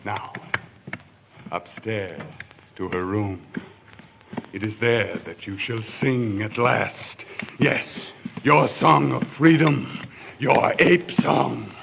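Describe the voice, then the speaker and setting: An actor playing a deranged, murderous husband in an old-time radio thriller, theatrical, swinging between hushed coaxing and frenzy. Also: male voice, medium pitch, very slow, creaky, long pauses, background noise, exaggerated voice. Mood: feverish, exultant. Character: deranged, murderous husband confessing his crime. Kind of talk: old-time radio thriller acting, first-person narration. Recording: old radio recording with loud music.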